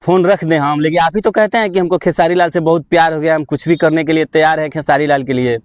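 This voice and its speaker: A man's voice: on the high side, steady tone, fast, no echo, studio quality. A man with a Bihari accent working as a reporter, questioning someone over the phone in a pushy, goading way. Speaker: local reporter interviewing by phone. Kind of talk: phone interview, casual confrontational questioning.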